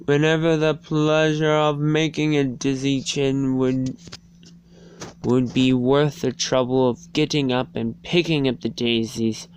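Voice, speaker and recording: A person reading verse and a storybook aloud as an amateur, halting and stumbling over words. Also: medium pitch, little pitch movement, darkish tone, clean tone, rough recording. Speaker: amateur reader. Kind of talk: dramatic storybook and poem reading. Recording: faint background noise and hum.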